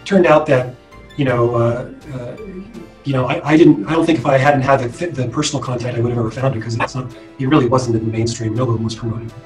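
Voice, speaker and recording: A man's voice: medium pitch, fairly even intonation, quick pace. Distant, roomy middle-aged American man, rambling. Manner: rambling, hedging with frequent "you know". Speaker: interviewee, former student co-op member. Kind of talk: casual reminiscing interview. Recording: roomy, distant mic, music behind.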